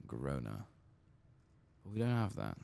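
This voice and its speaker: A man giving soft-spoken, partly whispered ASMR commentary as he plays a game, murmuring his thoughts aloud. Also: a bass voice, big pitch swings, moderate pace, creaky. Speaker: gaming channel host.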